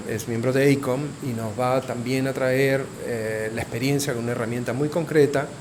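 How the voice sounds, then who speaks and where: A man's voice: mid-range voice, noisy background. A man moderating a conference panel, summarizing talks with frequent fillers.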